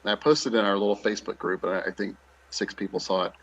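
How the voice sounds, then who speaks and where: A man's voice: fairly low pitch, fairly even intonation, fast talker, dull audio, a loud crowd. A man speaking casually as a show guest, with a joking, self-deprecating manner. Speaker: guest, actor and show producer. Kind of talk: casual chat.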